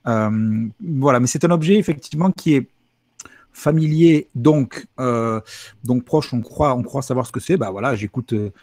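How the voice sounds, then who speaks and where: A man, a university linguistics lecturer, speaking as a guest on a video call in a lively, explanatory way with frequent asides. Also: mid-range voice, normal speed, no echo, studio quality. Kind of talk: explaining in a livestream interview.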